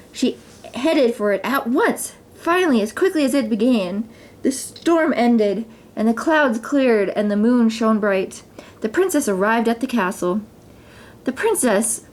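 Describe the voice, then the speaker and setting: A woman reading a children's story aloud as narrator, in an expressive, dramatized way. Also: on the high side. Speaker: mother reading stories for children.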